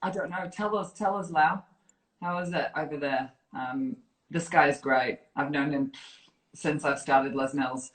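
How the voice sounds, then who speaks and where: A woman with a northern English accent softened by years in New Zealand, chatting casually and teasingly on a live stream as she reads out viewer comments. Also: low-pitched, steady tone, measured pace. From Yorkshire, northern England. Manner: playful, teasing. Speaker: fitness program director, interview guest. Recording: roomy, echoey live online video chat.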